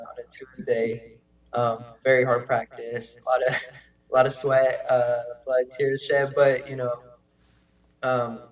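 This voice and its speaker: A young man, a high school quarterback whose first language is Russian, speaking softly and hesitantly. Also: poor audio.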